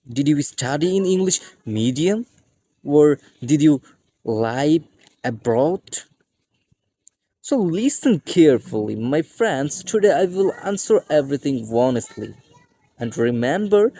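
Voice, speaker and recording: Male English teacher from Bangladesh, Bengali accent, unhurried and mellow, keeps calling viewers dear friends. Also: fairly high pitch, dry recording. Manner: repeated "my dear friends" addresses. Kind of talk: scripted English lesson to camera.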